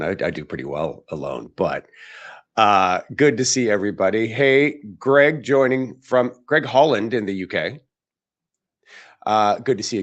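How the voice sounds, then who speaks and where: Raspy-voiced upbeat male podcast host. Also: fairly low pitch. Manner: chatty, upbeat, greeting viewers. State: hoarse, tired voice. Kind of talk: live podcast chat.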